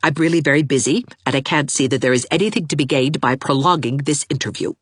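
A wry, level-headed young woman from a storybook, brisk and sardonic, as a woman narrator reads her. Her tone is low and unfussy, close to the reader's own voice. The sound is dry and intimate. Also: breathy edge, dry recording.

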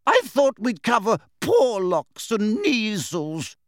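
Brief: man doing a jolly old-school witch teacher, high and slow, bouncy pitch. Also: huge pitch swings, no echo, clean studio sound, exaggerated voice. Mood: hearty. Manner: hearty, brisk, upper-class. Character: brisk hearty middle-aged witch teacher. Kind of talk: audiobook character voice.

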